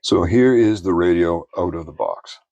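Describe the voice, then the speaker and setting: Man, low-pitched voice, dry close sound, plainspoken product reviewer, measured explanations. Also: creaky, darkish tone, no room sound.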